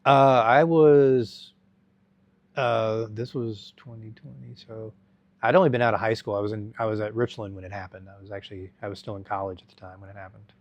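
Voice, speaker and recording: A middle-aged man telling his life story as an interview guest, halting and rambling with many restarts. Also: lowish voice, steady tone, no room sound.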